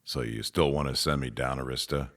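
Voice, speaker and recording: A man playing a sly, needling board member aboard a spaceship in an audio drama, in a gravelly, husky voice. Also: a bass voice, fairly even intonation, a little fast, fairly bright tone.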